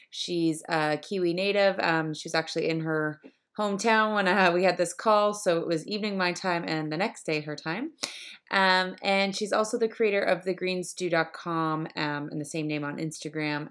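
Podcast host, young woman, cheerful and chatty, lower pitch. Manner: upbeat, chatty, with frequent ums. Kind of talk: podcast interview.

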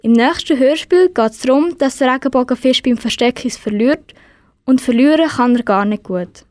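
High-pitched Swiss teenage girl presenter. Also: normal speed, darkish tone, no echo. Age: teens. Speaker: radio presenter. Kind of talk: scripted radio announcement.

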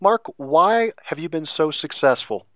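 A man hosting an interview podcast, asking direct, measured questions. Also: a little high, expressive, sharp tone, dry recording.

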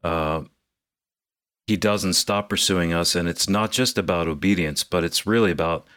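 A man co-hosting a radio Bible talk, speaking reflectively with thoughtful pauses. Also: deep voice, a bit bright, clean tone, no echo, studio quality. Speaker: co-host. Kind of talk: casual radio discussion.

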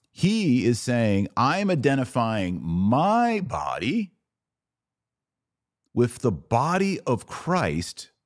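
Male podcast host, sermon-like monologue, slow deliberate delivery, swooping lively pitch, dramatic pauses. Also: no room sound, studio quality.

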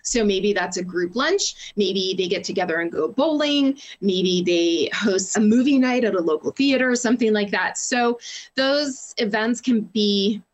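A woman's voice: mid-range voice, lively intonation, unhurried. A woman with a mid-range voice speaks as an interview guest from public relations. She explains practical ideas in an organized, articulate way, moving through examples one after another.

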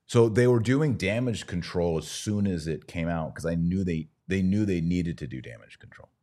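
A man's voice: low voice, expressive, normal speed, dark tone. A man hosting a podcast, giving conversational religious commentary with emphatic, repeated phrasing. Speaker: podcast host.

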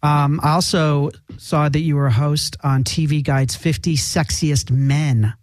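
A man hosting a casual interview show, joking in a deadpan, self-deprecating way. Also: on the high side, a little slow, no room sound, studio quality.

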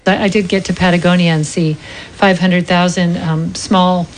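Enthusiastic low-voiced woman co-host. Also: level tone, moderate pace, slight background noise, rough recording. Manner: enthusiastic, curious questioner. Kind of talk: casual radio chat.

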